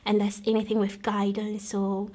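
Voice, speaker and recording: A young woman from the Democratic Republic of Congo telling her own life story in an earnest, measured way, pausing and restarting often. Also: mid-range voice, completely flat delivery, warm tone. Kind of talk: personal testimony, recalled storytelling.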